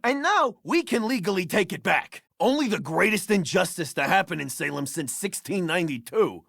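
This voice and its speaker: Indignant woman activist, gravelly creak, animated pitch, dramatic, righteous, video game character. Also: moderate pace, dry recording, studio quality, full character voice. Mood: righteous indignation. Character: indignant, aggrieved activist, descendant of accused witches.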